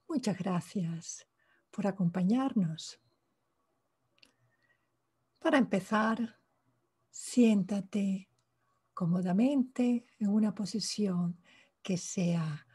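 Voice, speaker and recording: A woman leading a guided meditation in a soft, breathy voice, calm and hushed, pausing between short phrases. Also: mid-range voice. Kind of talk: guided meditation, slow spoken instructions.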